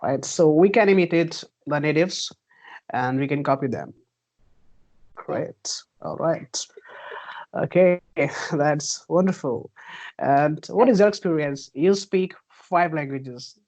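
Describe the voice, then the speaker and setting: A woman's voice: low-pitched, slow pace, a little mellow, rough recording. A woman hosting an interview, asking questions politely and answering with short encouraging remarks. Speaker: interviewer host.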